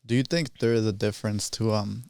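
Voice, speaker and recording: Casting: young man, podcast host, lowish clear voice, chatty and informal. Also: steady tone, fairly quick, a little mellow, dry recording. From Colombia, partly grew up in the United States. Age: young adult. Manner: informal, slangy. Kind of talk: casual podcast chat. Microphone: close boom-arm studio microphone.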